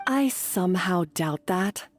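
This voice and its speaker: A woman's voice: fairly low pitch, lively intonation, takes it slowly. An actress playing a bitter, remorseful but defiant young female chef in a video game, speaking with dramatic emotion. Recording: game dialogue over background music.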